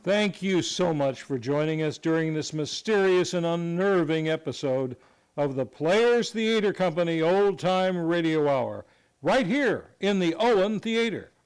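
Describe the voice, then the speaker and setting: A man's voice: on the high side, expressive, measured pace, clear, resonant tone, poor audio. A man announcing a scripted radio show sign-off in a formal, polished way. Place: live on a theater stage.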